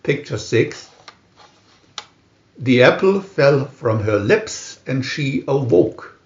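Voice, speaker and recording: Slow, expressive male storybook reader. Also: medium pitch. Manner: measured, deliberate, pausing between captions. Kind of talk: scripted storybook narration, reading picture-reel captions. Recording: roomy, dull lo-fi sound.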